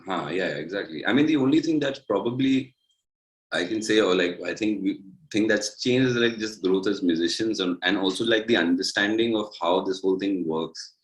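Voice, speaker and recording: Low-voiced man, rambling. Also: moderate pace, rough recording. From India. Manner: rambling, many fillers and restarts. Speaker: musician guest. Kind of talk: casual interview answers.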